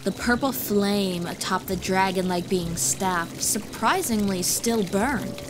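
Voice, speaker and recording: A woman's voice: mid-range voice, slow. A woman reading scripted fantasy story narration as the narrator of an audiobook. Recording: music behind the voice.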